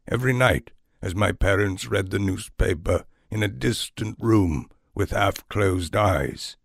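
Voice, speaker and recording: A man narrating scripted first-person literary fiction in a measured, deliberate, formal way. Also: low-pitched, gravelly, dry recording, studio quality. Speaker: storyteller narrator of an audio fiction series.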